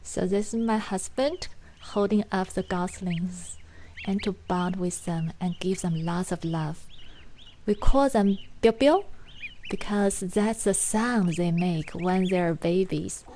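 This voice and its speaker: A woman from China with a Chinese accent, gently narrating a watercolor painting tutorial in unhurried explanations. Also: on the low side, slight background noise. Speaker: watercolor art teacher and farm owner.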